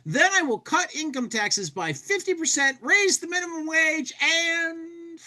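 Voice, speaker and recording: A man's voice: extremely high pitch, lively intonation, measured pace, sharp tone, voice pushed up, heavily acted. A man voicing a bombastic, defiant politician declaiming grand stump speeches in a comic dramatic reading. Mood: grandiose, fervent.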